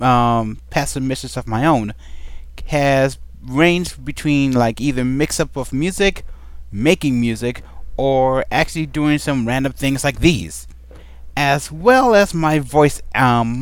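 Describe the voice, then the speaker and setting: A man's voice: medium pitch, dry recording. Casual rambling young man, dry sound. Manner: rambling and playful, with many ums. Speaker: vlogger answering a question tag. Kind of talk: casual chat. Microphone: desk microphone.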